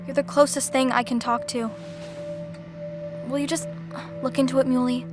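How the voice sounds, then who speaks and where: A woman's voice: sits high, flat delivery, normal speed, music in the background, natural voice. A woman playing a grieving, candid young woman in a video game, earnest and hesitant. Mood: troubled, pleading. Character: grieving young woman, candid, insistent, doubting her father's death. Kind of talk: naturalistic video game acting.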